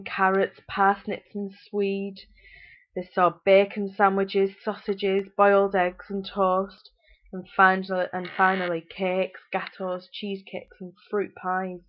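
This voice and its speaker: A woman with a British accent narrates a fairytale as a storyteller. Her voice sits fairly low, and the recording is somewhat dull and lo-fi.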